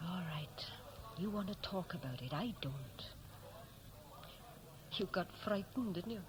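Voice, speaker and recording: An actress with a Welsh accent playing a guarded, stoical married waitress in a 1960s radio drama. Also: female voice, lowish voice, lively intonation, slow pace. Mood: cool, defensive. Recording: old radio recording, light background noise.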